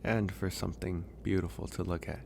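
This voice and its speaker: Man voicing a gentle flirty werewolf, very low voice, unhurried, soft-spoken ASMR roleplay, ambient noise behind. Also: steady tone, vocal fry. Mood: tender. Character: gentle, flirtatious male werewolf host, lonely and tender. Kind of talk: ASMR romantic audio roleplay.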